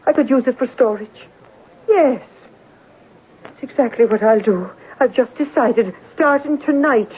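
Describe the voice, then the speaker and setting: A woman's voice: fairly high pitch, measured pace, pauses often, a little hiss or hum, lightly acted. An actress playing a worried, stubborn boarding-house landlady in a 1970s radio drama, speaking in a hesitant, earnest way. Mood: fretful. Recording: muffled, lo-fi old radio recording.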